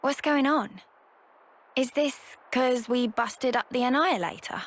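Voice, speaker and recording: A woman voicing an excitable, brash young female fighter in a video game, talking in a colloquial, informal way. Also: high-pitched, a little slow. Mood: surprised, puzzled. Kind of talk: video game cutscene voice acting.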